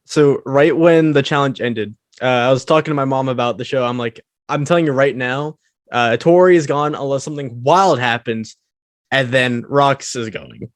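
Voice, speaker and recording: Relaxed man telling stories, dry mic. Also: mid-range voice, normal speed, dry recording. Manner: relaxed storytelling with quoted asides. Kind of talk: casual podcast chat.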